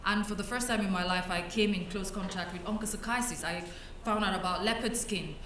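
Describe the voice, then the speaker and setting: A young woman from Cameroon talks a conference audience through her slides, her voice at an ordinary middle pitch. She speaks conversationally, pausing on fillers and restarting phrases as she goes. The sound is roomy and a little distant, with faint hall ambience behind her. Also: a bit bright, a little hiss or hum. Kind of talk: conference talk presenting slides. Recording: echoey hall, mic at a distance.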